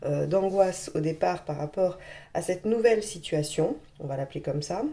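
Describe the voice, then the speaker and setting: A woman with a hoarse voice from a cold, reading tarot cards to viewers in a gentle, reassuring way with many pauses. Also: on the low side. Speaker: tarot reader.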